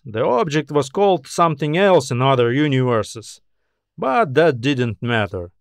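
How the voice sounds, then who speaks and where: A man narrating a science fiction story as a scripted audiobook, in a measured storytelling manner with phrases that trail off. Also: fairly high pitch, no room sound, studio quality.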